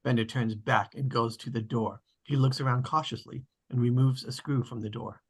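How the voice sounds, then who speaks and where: Husky man reading stage directions. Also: medium pitch, steady tone, moderate pace. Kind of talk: scripted narration.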